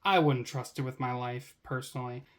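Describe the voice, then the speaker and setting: A woman's voice: extremely low pitch, fairly even intonation, normal speed, a little hiss or hum. A woman hosting a casual product review, chatting in a wry, slangy and easily amused way. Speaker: product reviewer, vlog host.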